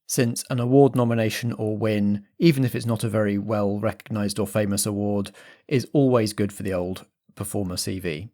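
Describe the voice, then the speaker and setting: A man's voice: lowish voice, steady tone, moderate pace, mellow. Man hosting a podcast announcement. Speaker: podcast host and game master.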